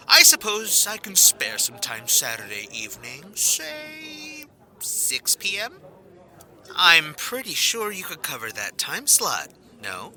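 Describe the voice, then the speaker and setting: An actor playing a cocky, flirtatious, eccentric field agent in an audio drama, smug and theatrical, dropping in bits of Spanish. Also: male voice, on the high side, huge pitch swings, slow pace, bright, forceful tone, full character voice. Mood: smug, haughty.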